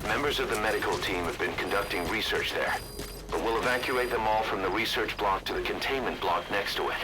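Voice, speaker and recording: An actor playing a gruff, businesslike military second-in-command giving scripted mission briefings to his superior in a game. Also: lowish voice, brisk, lightly acted. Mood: urgent, businesslike. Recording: loud helicopter-like vehicle noise.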